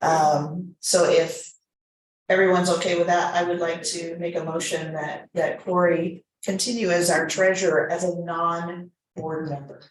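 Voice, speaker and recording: A woman's voice: fairly low pitch, fairly even intonation, measured pace, a little hiss or hum. A woman chairing a formal board meeting, moving through procedure with conversational, informal asides. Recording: echoey room recording.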